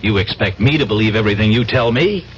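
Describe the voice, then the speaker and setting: Man playing a sardonic, animated American reporter. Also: fairly low pitch, fast talker, close to an everyday voice. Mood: stubborn, suspicious. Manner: wry, blunt, defiant. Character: stubborn, sardonic American reporter caught in intrigue. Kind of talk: 1970s radio drama acting. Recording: dull, noisy old radio broadcast.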